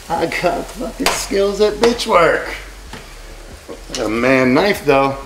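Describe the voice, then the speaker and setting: A man's voice: on the high side, animated, takes it slowly, background noise. A man in his forties hosting a cooking vlog in his home kitchen, brash, joking and profane. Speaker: cooking show host. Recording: sizzling frying pan behind.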